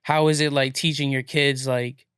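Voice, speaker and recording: A man's voice: medium pitch, flat delivery, normal speed, fairly bright tone, clean tone, no echo. A man chatting as a podcast guest in a studio, casual and rambling, packing his talk with fillers.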